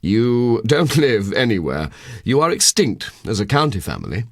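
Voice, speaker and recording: A man's voice: fairly low pitch, big pitch swings, normal speed, no room sound, pitched above the performer's own voice. An elderly English-novel parson, played by a male narrator, learned and condescending. He speaks in a raised, very varied voice with precise, bookish care, close and dry. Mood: dry, dismissive.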